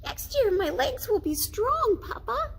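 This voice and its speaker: A woman's voice: very high voice, big pitch swings, unhurried, vocal fry, pitched above the performer's own voice, exaggerated voice. A woman voicing a hopeful, frail little boy in a storybook, childlike and earnest. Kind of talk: storybook character voice.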